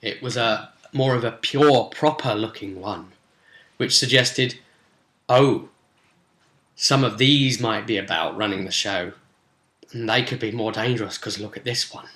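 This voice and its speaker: A man's voice: on the low side, a little slow, pushed and bright. A man talking casually as a game master recapping a tabletop role-play session, rambling and explanatory in a conversational way. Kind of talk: casual spoken recap and commentary. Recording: roomy, echoey sound.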